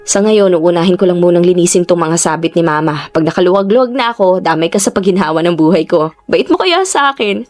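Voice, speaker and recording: A woman's voice: on the low side, animated, quick pace, hardly any pauses, a little mellow, close to an everyday voice. A woman playing a hardworking, determined young Filipino niece in a Tagalog radio drama, with a Filipino accent. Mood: hopeful, affectionate.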